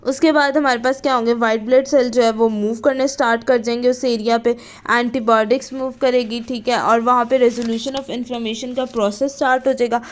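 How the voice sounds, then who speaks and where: A woman's voice: on the high side, steady tone, moderate pace. A woman teaching in an explanatory lecture style, reading out notes and then rephrasing them, mixing in English terms and often checking in. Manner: code-switches between Hindi and English terms; repeats and rephrases points, checking with "okay". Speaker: teacher of physiotherapy students.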